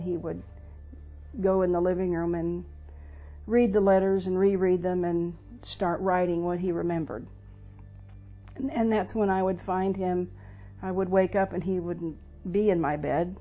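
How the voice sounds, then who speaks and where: An older American woman recalling personal memories in an interview, speaking in a plain, reflective, halting way. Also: on the low side, warm tone. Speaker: interviewee, veteran's wife. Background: music under the voice.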